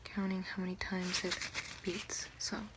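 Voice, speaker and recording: A young woman whispering breathily in an ASMR roleplay as a doctor, slow, careful and soothing. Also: on the low side, level tone, very noisy. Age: young adult. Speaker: ASMR performer playing a doctor.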